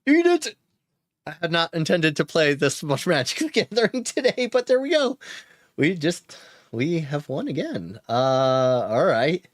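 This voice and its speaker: Lively-voiced man thinking aloud over a card game. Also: on the high side, unhurried, no room sound, studio quality. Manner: thinks aloud, chuckles, cheers. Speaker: streamer playing a card game. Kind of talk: casual gameplay commentary.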